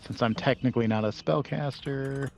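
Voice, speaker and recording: A man's voice: medium pitch, normal speed, gravelly, darkish tone, soft background music. A man chatting casually as a player in a tabletop roleplaying game.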